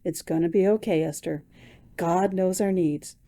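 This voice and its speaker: A woman voicing a tired young husband who speaks low and calmly. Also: brisk, lightly acted. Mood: weary. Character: young husband, weary and steady.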